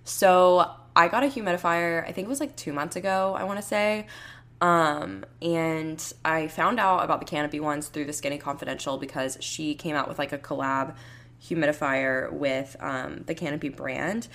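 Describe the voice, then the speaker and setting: A young woman in her twenties hosting a solo podcast from home, her voice hoarse and raspy, rambling casually with lots of fillers. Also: sits low, normal speed, a bit bright. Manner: rambling, heavy use of "like" and "um". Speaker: podcast host.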